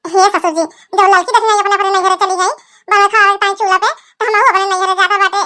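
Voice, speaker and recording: A woman's voice: very high voice, steady tone, a little fast, sharp tone. A woman voicing an indignant, stubborn young daughter-in-law in a cartoon, complaining insistently with an Awadhi accent. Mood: defiant. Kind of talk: rural cartoon comedy dialogue.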